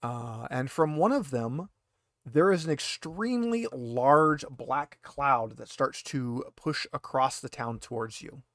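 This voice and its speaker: A man narrating a tabletop roleplaying game as game master in a halting, conversational way. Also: medium pitch, animated, fairly bright tone, no echo, studio quality.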